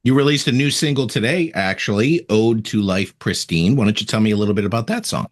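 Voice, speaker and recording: A man's voice: fairly low pitch, fast. Casting: male podcast host, conversational interviewer putting questions to a guest.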